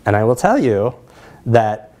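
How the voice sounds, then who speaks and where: A man around forty presents his research in a lecture hall. He has a medium-pitched voice and a reflective, conversational way of talking, often checking in with his listeners. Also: sing-song, unhurried, a little mellow. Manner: reflective, asks check-in questions. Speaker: presenter, social work researcher. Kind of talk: conference talk with audience discussion.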